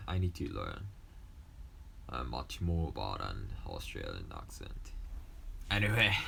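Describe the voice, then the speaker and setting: A young man whose first language is Japanese, speaking English with a mostly American accent and a slight Japanese trace, reading textbook lessons aloud with halting restarts. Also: deep voice, creaky, a little hiss or hum. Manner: halting, with restarts and self-corrections. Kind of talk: casual talk, then reading school textbook passages aloud.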